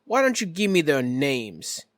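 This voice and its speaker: A man playing a smooth, shady businessman patron in a tabletop game, speaking in a polished, formal and evasive way. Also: fairly high pitch, very animated, unhurried, no echo, clean studio sound. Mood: smooth, conspiratorial.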